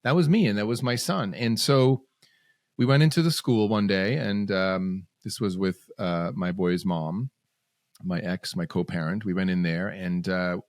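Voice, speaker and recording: A man's voice: low-pitched, unhurried, no echo, studio quality. A man, a podcast guest telling his story in bursts, with sudden rises and restarted phrases. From Switzerland. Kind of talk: casual storytelling interview.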